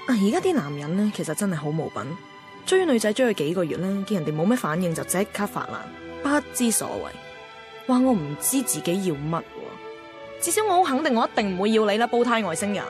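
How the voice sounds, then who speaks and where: An actress playing a chatty, self-doubting young single woman, confiding in expressive Hong Kong Cantonese in a radio drama. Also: medium pitch, a little fast, music in the background, close to an everyday voice. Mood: indignant. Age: young adult. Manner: confiding, expressive inner monologue. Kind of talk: radio drama narration and dialogue.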